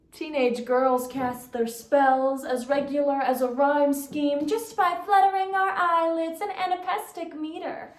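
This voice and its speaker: High-pitched young woman reciting poetry. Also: darkish tone. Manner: theatrical, deliberate, emphatic phrasing with dramatic pauses. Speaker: competitive speech performer. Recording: roomy, echoing sound.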